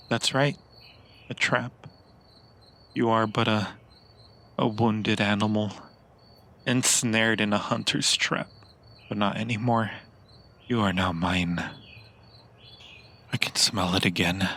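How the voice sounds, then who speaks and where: Guy doing a lowish gravelly vampire lover for asmr, romantic and possessive, takes his time. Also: a bit bright, slight background noise, heavily acted. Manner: slow, romantic, theatrical, lingering pauses. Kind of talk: intimate ASMR roleplay, improvised monologue.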